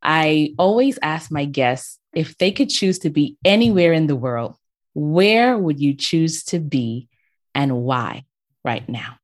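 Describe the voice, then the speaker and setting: A woman with a low voice hosts an interview show. She is effusive and leans into her words with emphasis, speaking directly to her guest in an upbeat conversational style. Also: lively intonation, takes it slowly. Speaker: show host.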